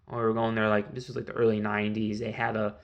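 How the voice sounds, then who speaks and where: A man's voice: lowish voice, monotone, fast, gravelly, highs cut off. A man from Connecticut in his thirties, rambling through a casual solo monologue with many fillers and restarts. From Connecticut, USA. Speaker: vlogger.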